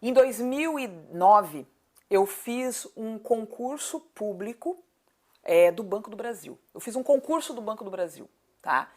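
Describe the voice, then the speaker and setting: A woman's voice: on the high side, animated, a little slow, mellow. A woman of thirty-eight with a southern Brazilian accent, answering conversationally as an interview guest, emphatic, repeating points and asking rhetorical questions. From Paraná, southern Brazil. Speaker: city council candidate, interview guest.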